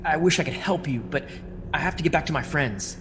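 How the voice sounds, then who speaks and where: A man's voice: fairly high pitch, a little fast, lightly acted. A man playing a wary, defiant young hero in a fan audio drama, speaking in a guarded, clipped, urgent way. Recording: long cavernous reverb over noisy ambience.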